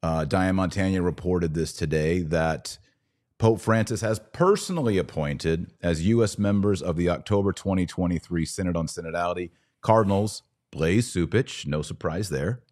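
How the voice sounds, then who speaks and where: Very deep-voiced American man, sardonic podcaster. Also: animated, moderate pace, darkish tone. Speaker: podcast host. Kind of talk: conversational religious commentary.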